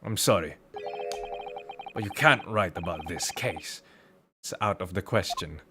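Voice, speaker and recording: A man voicing a formal gentleman detective in a video game, polite but firm, in measured phrases. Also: sits low, heavy background noise, voice pushed down. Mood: grave, firm.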